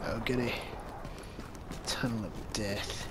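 A man's voice: medium pitch, steady tone, slow, very noisy. A man giving improvised, joking, mock-heroic commentary in character as he plays a video game. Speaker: gamer voicing the protagonist as a joke. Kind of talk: improvised comic gameplay commentary. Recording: loud gunfire and game sound behind. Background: game gunfire and ambience.

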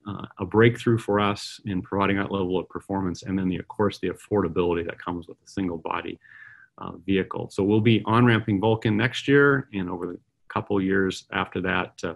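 Gravelly low-voiced man giving a keynote. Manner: measured, with frequent uh and um fillers. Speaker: aerospace executive giving a keynote. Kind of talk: conference keynote presentation.

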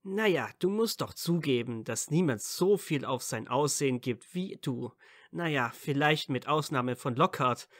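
A man's voice: mid-range voice, expressive, unhurried, a bit bright, no echo, studio quality. A man acting a cheeky, snappy young wizard. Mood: cheeky, teasing. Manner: snappy, teasing. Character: defensive, cheeky young male wizard, quick-tempered. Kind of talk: fan audio drama acting.

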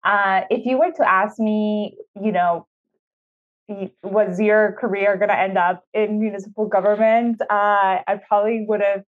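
A woman in her thirties talks through her career in a relaxed, chatty way, with plenty of "uh"s and easy laughs. Her voice sits at a middle pitch. The sound is thin and phone-like, as on a video call. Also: unhurried. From Ecuador. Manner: chatty, many fillers, laughs easily. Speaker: city sustainability official, podcast guest. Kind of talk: casual interview answers.

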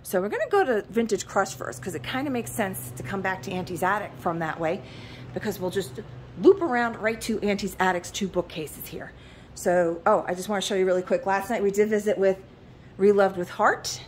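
A woman's voice: medium pitch, brisk, slight background noise. A middle-aged woman giving a chatty shop tour of her antique store, pricing pieces as she films with a handheld phone. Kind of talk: casual video shop tour. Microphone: handheld phone camera.

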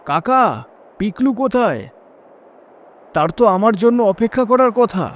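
Man acting an anxious young man, high-pitched. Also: sing-song, a little slow, slight background noise. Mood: anxious, bewildered. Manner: questioning, uneasy. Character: anxious, bewildered young man cycling home at night.

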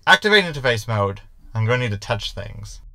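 A man's voice: medium pitch, big pitch swings, brisk, heavy background noise. A man playing a cocky, sarcastic shapeshifting ship's hologram in a science fiction comedy audio drama, with wordy delivery. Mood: musing, smug.

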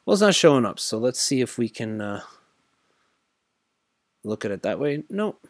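A man's voice: mid-range voice, big pitch swings, moderate pace, no echo. A tired man casually thinking aloud while walking through a screen-recorded tutorial, rambling with many fillers. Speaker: crypto tutorial host.

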